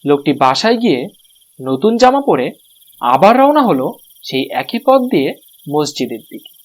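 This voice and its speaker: A man's voice: fairly high pitch, very animated, measured pace. A man narrating a scripted cartoon story as the storyteller.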